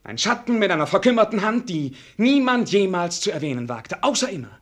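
A high-strung young prince in a radio drama. His fairly high voice rises and falls widely as he declaims, theatrical and bitter, weary of court formality. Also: very animated, fairly quick. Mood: bitter, anguished. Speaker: actor in a radio play. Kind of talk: German radio drama acting.

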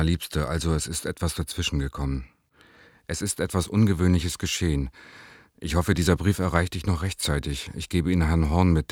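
An actor playing a secretive young bohemian lover, reading a letter in a confiding, deliberate way in a radio play. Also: male voice, extremely low pitch, dry recording, studio quality.

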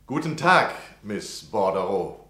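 Deep-voiced courteous gentleman, roomy sound. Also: very animated, slow, heavily acted. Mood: polite. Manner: polite, smooth, deferential. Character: courteous, eager younger gentleman journalist, flattering would-be lodger. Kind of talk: 1960 German radio play acting.

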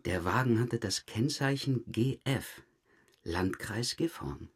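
An actor playing a methodical, calm police detective in an audio crime drama, measured, understated and politely insistent. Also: male voice, medium pitch, husky. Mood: calm, probing. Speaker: police investigator.

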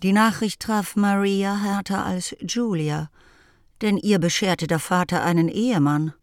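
A woman's voice: mid-range voice, steady tone, unhurried, a little hiss or hum. A woman reading scripted literary narration as the narrator of a radio play. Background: music.

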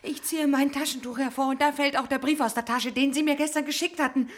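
An actress playing a passionate, distraught young married woman in a radio play, with a breathy voice. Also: high voice, steady tone, fast talker, close to an everyday voice. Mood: hysterical, distraught. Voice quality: breathy, often dropping to whisper. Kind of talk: intimate, emotional radio drama acting.

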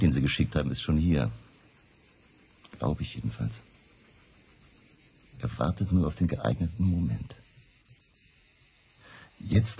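An actor playing a weary, fearful political refugee in a German radio drama, telling his past in a halting, subdued, gravelly way. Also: a bass voice, mellow, a little hiss or hum, heavily acted. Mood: wary, resigned. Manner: halting, subdued confessional storytelling. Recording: music behind the voice.

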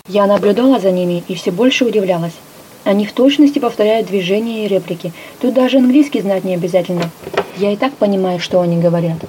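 Female storyteller, first-person narration, mellow voice, expressive delivery, light background hiss. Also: mid-range voice, low-quality recording. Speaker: audiobook narrator.

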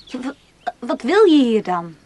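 A woman's voice: high voice, sing-song, a little slow, a little mellow. A woman acting in a radio play as a blunt, bored young woman, curt and offhand with her older lover. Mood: bored, irritated. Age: young adult. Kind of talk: naturalistic radio play dialogue.

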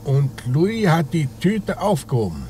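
An actor playing a terse, probing police inspector interrogating a suspect in a 1950s radio crime drama. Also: male voice, on the high side, big pitch swings, a little slow, a little mellow. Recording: noisy old 1950s radio recording.

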